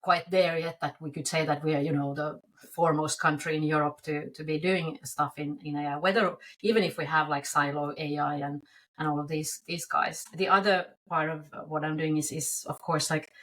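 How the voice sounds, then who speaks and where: A woman speaking, her voice sitting a little low. She talks as a podcast guest over a video call. Her delivery is relaxed and chatty, looping back with restarts and fillers. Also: normal speed, low-quality recording. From Finland. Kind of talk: casual interview talk.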